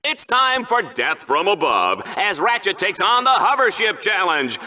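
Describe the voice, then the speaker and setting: Brash video game arena commentator, high pitched, very bright piercing tone, hammy, punchy, smarmy showman. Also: heavily acted. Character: brash, smarmy, showboating male arena combat TV announcer. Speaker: sports show announcer. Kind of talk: over-the-top game show sports commentary.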